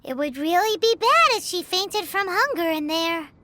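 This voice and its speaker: A woman voicing a tiny, chirpy, childlike fairy companion in a video game, squeaky, earnest and excitable. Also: normal speed, a little hiss or hum, full character voice. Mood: concerned. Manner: speaks of herself in third person. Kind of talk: video game character acting.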